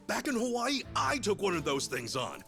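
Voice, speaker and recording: A man's voice: sits high, very animated, moderate pace, creaky, fairly bright tone, soft background music, heavily acted. An actor playing a skeptical, straight-talking man among gangsters in a video game, casual and doubting. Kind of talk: video game cutscene acting.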